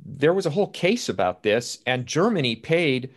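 A man's voice: mid-range voice, sing-song, moderate pace. A man in a conversational panel discussion, speaking indoors on a video call. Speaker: panelist from the play's team.

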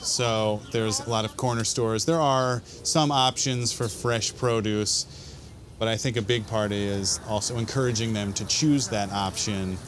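A man's voice: fairly low pitch, fairly even intonation, measured pace, fairly bright tone, slight background noise. Casual man explaining his school farm. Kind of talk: interview.

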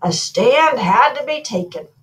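A mild storybook king, voiced by a woman reader, earnest and a touch pompous. The voice is fairly high and very animated, with unhurried phrasing. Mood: reasoning. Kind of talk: storybook read-aloud.

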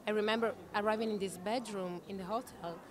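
A woman's voice: medium pitch, steady tone, fairly quick. Chatty woman against busy chatter. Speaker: actress interview guest. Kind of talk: chatty anecdotal interview answers. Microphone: handheld interview microphone shared with interviewer.

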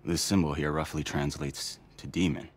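A calm middle-aged charity director, measured and earnest. His voice is low and husky.

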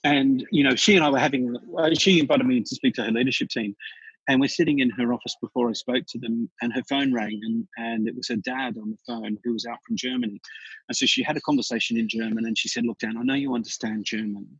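A man with an Australian accent, a podcast guest telling stories in a chatty, animated way with frequent restarts. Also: mid-range voice, fast talker, breathy edge, dry recording, dull audio. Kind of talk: casual interview chat.

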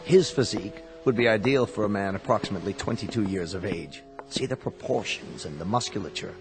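An actor playing a smooth company salesman in a radio drama, with polished, reassuring patter. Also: lowish voice, fairly quick, lightly acted. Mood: proud, selling. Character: smooth persuasive salesman of replacement android bodies. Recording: hall reverb with music behind.

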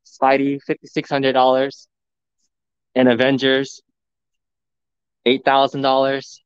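A man livestreaming a walkthrough of a pinball showroom, excitedly talking to his viewers, recorded on a phone indoors. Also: mid-range voice, clear, resonant tone, no room sound, muffled recording. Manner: excited, enthusiastic, addressing viewers. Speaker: vlogger, live streamer. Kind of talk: live walkthrough commentary. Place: large indoor arcade showroom. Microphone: phone camera.

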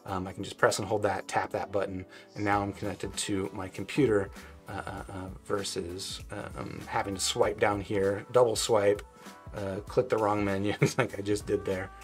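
A man's voice: fairly low pitch, little pitch movement, normal speed, soft background music. An American man in his forties giving a casual product review, conversational and thinking aloud as he goes. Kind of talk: casual tech review talk. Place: small home room, indoors.

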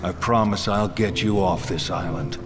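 An actor with an American accent playing a weary, protective middle-aged father in a video game, grave and measured. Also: low voice, close to an everyday voice. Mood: somber, regretful. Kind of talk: dramatic video game acting.

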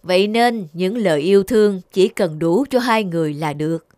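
A woman puts on a big, patient cartoon god of love, pitched down from the usual voice. The mentor speaks in measured, instructive phrases. The sound is dry, with music behind. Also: darkish tone, dry recording, lightly acted. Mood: calm, wise. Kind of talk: cartoon dubbing.

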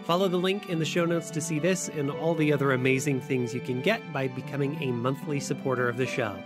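A man hosting a podcast, reading scripted narration in a measured, articulate way. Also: medium pitch, steady tone. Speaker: podcast host. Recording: music bed under the voice. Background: guitar music.

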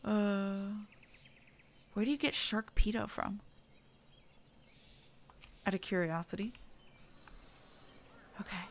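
A woman's voice: mid-range voice, slow, pauses often. A woman streamer chatting casually and muttering to herself as she plays a game. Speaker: streamer playing an online fantasy game. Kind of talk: casual live gaming commentary.

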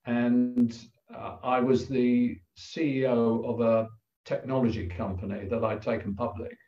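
An older man with an English accent, a guest telling a personal story over a video call in a halting, reflective way with many pauses. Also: mid-range voice, flat delivery. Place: plain room indoors. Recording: roomy, distant, dull video call audio.

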